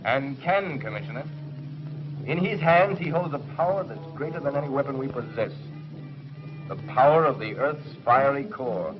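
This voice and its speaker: An actor playing a grave, urgent scientist hero in a 1950s TV sci-fi serial, with a solemn, declamatory delivery. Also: male voice, medium pitch, animated, moderate pace, close to an everyday voice. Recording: muffled 1950s TV soundtrack with music.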